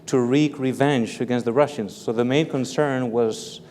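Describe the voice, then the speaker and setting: Measured male author from Belgium in a hall. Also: medium pitch, fairly even intonation, a little mellow. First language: French. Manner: careful, measured, repeats words as he explains. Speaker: author and professor. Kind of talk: guest interview answers. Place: large hall with live audience. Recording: long hall reverb with faint room noise.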